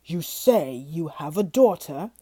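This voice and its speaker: Storybook beast, huge and clawed, growls and roars, low and dark, as a woman reads it. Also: expressive, slow pace, husky, no room sound, full character voice. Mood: threatening. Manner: growling, roaring.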